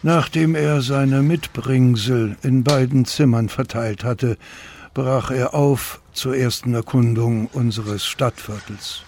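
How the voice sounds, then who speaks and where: A fussy Parisian landlord delivers his informant's report in a radio play. He speaks slowly at a medium pitch, with stilted, prim formality, over faint background noise. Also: steady tone, a little mellow. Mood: dutiful, formal. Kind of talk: radio play monologue, formal written report read aloud.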